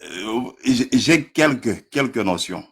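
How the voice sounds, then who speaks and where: A man's voice: medium pitch, expressive, takes it slowly. A man from Congo with a Congolese French accent, debating in a live online stream, hesitant and stammering with frequent chuckles.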